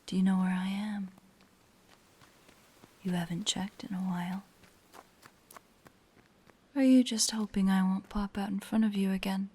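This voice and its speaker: A woman playing a predatory, teasing spider-woman monster in a horror audio roleplay, with a breathy, whispery voice and a taunting, menacing manner. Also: mid-range voice, flat delivery, mellow. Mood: playful menace. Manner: slow, taunting, playfully sinister.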